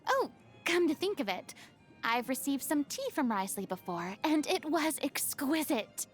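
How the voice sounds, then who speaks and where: A woman's voice: sits high, very animated, normal speed, soft background music. A woman voicing a proud, vain yet vulnerable young former stage celebrity in a video game, with a theatrical manner and flustered hesitations. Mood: thoughtful, fond. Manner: theatrical, boastful, prone to sighs and flustered hesitation. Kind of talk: video game character monologue.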